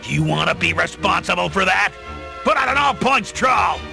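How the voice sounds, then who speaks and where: An actor playing a grandiose, scheming reptilian dictator in a radio play, theatrical and commanding. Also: male voice, high-pitched, expressive, moderate pace, vocal fry, music in the background, exaggerated voice. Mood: angry, commanding. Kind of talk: audio drama acting.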